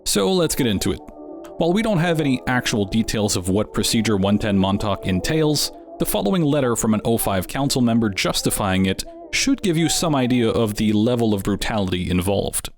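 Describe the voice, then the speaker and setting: A man's voice: on the low side, very animated, fairly quick. A man hosting and narrating a video in a wry, conversational way. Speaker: host. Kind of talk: scripted narration. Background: music.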